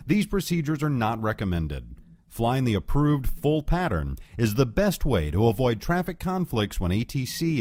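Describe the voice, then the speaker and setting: Guy doing training video narration, super lively pitch swings, dry close sound, explains stuff step by step. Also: dry recording. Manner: clear, measured explaining. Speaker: instructional narrator. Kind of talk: scripted educational narration.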